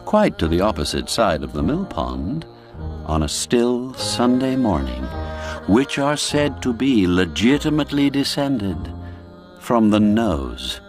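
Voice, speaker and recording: A man narrating a classic literary tale in a measured, expressive storyteller's manner. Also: on the low side, music in the background. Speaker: narrator and audiobook reader.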